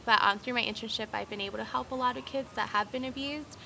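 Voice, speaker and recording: A woman's voice: on the high side, steady tone, quick pace, gravelly. An American woman from California, a student volunteer, reflecting casually in an interview. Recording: faint background noise.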